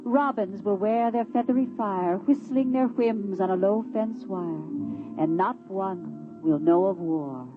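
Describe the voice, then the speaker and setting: A woman reciting verse in a measured, lyrical, solemn manner in a 1950s radio drama. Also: mid-range voice, phone-quality sound. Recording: thin vintage radio, music behind.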